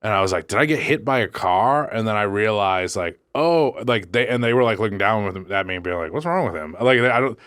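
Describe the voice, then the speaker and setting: A man's voice: on the low side, brisk, few pauses, clear, resonant tone, dry recording, studio quality. A man co-hosting a podcast, rambling through stories with lots of swearing and jumps into quoted voices. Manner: rambling storyteller, swears freely, animated quoting. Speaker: podcast co-host. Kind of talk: casual comedic chat.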